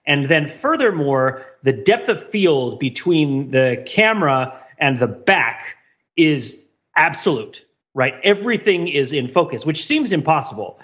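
A man's voice: a little high, big pitch swings, a little slow, fairly bright tone. Male podcast co-host, upper-register voice, analytical and discursive, thinking aloud; slightly muffled recording. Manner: wordy, thinking aloud with frequent repeats and "right?" tags. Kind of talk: casual film discussion, analytical.

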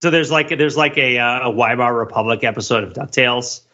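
A man in casual, joking analytical podcast chat, animated and riffing as he goes. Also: mid-range voice, fairly quick. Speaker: podcast panelist. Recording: dull, slightly lo-fi audio.

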